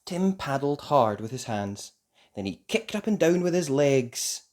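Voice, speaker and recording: Storybook narrator for young kids: mid-pitched, unhurried, hugely varied intonation, deliberate sing-song delivery. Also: big pitch swings, darkish tone. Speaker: children's story reader. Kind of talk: expressive scripted storybook narration.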